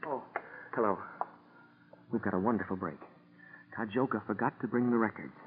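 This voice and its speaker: A man's voice: on the low side, moderate pace, noisy background, lightly acted. A man playing an anxious, deferential undercover informant in a 1940s radio drama, speaking earnestly and politely. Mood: eager. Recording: dull, lo-fi 1940s radio recording.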